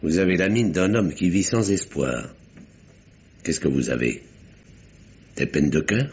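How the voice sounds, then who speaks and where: A man's voice: deep voice, very animated, measured pace, darkish tone. An actor playing an earnest, insistent older uncle in an old French radio drama, speaking formally and articulately as he probes. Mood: probing. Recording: dull, noisy vintage radio recording.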